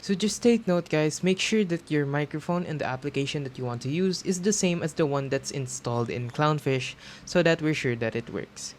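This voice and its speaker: Man narrating a casual software tutorial. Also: fairly high pitch, animated, normal speed, few pauses, a little hiss or hum. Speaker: tutorial host.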